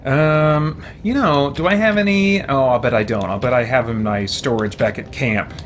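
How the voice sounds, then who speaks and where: A man's voice: mid-range voice, normal speed. Man thinking aloud while gaming, noisy background. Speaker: let's play host. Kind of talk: casual gameplay commentary, thinking aloud. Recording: clear background noise, keyboard typing.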